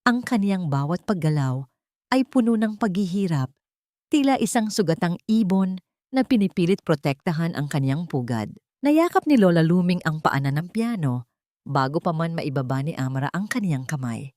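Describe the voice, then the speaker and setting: Female audio-story narrator, dramatic scripted read, slightly low voice, very dry sound. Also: expressive, normal speed, darkish tone, no room sound.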